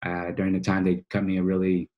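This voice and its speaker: A man in his early thirties from Boston talks as an interview guest. His voice sits low with limited melodic movement. He tells his story informally, with plenty of slang. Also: completely flat delivery, fast talker, muffled recording. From United States, Boston. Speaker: podcast guest, financial coach. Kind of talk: casual conversational storytelling. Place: small office, indoors, on a video call.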